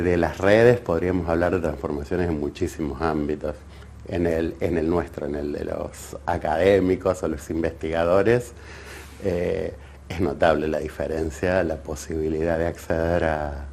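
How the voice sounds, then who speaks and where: Low, mellow Argentine man, thinking aloud. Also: a little hiss or hum. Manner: halting, reflective, searching for words and repeating phrases. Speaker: academic researcher in communication. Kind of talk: extemporaneous analytical interview talk.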